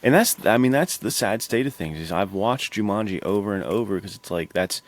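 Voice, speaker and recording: A man with a low-pitched voice talks as a podcast host in a casual chat. His wording is loose and slangy, the way friends talk about movies. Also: expressive, fairly quick, no echo. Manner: informal slang, dude-heavy.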